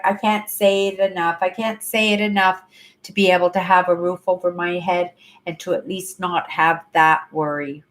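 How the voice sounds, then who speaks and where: A woman in her fifties chatting casually on a livestream from her apartment, rambling, repeating herself and ending sentences with "right?". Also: lowish voice. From Canada. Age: 50s. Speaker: livestream host. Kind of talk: casual live chat to viewers.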